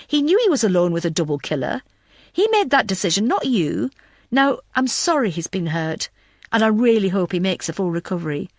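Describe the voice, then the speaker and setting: A woman's voice: mid-range voice, no room sound, studio quality. A woman narrator voicing a blunt, bossy but caring female pathologist in an audiobook, emphatic and exasperated.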